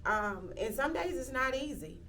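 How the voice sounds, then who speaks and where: A woman's voice: high voice, very animated, moderate pace, bright, forceful tone, distant mic, slight background noise. Reflective woman in her thirties. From Athens, Georgia, US. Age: 30s. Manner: reflective, with frequent "um" fillers and restarts. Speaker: interviewee, local county commissioner. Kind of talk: casual interview talk.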